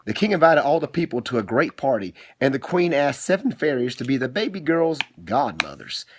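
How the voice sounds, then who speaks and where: A man's voice: medium pitch, very animated, fairly quick. A middle-aged man reading aloud to his child in a theatrical, teasing way, often breaking off for asides. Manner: theatrical, teasing, frequently interrupts himself. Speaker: father telling a bedtime-style story. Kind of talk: homemade storytime, reading aloud with playful asides.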